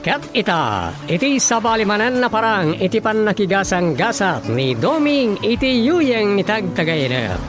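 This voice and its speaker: A village man from a Filipino radio drama, with an Ilocano accent. His voice sits high for a man, and music plays prominently underneath. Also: very animated, normal speed. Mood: dramatic announcing. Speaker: radio drama narrator and actor. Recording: music bed behind the voice.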